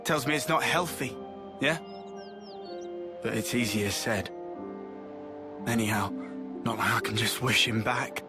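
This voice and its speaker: A man's voice: medium pitch, unhurried, long pauses, music in the background, natural voice. A man with a British accent playing a brash, restless young soldier in a video game, blunt and colloquial. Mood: guarded, earnest. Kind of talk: video game cutscene acting.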